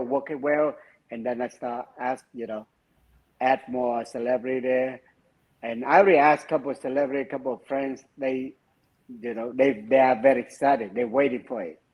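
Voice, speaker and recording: A man from Vietnam with a Vietnamese accent, speaking English as a second language with halting phrasing, interviewed over a video call from his home. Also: mid-range voice, fairly even intonation, highs cut off. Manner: halting, non-native phrasing. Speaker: project founder guest. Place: home room with framed photos.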